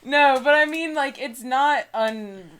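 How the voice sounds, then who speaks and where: A woman's voice: high voice, slow pace, fairly bright tone. A young woman in a casual read-aloud, giggly and often breaking off to add mocking comments. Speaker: co-host reading books aloud.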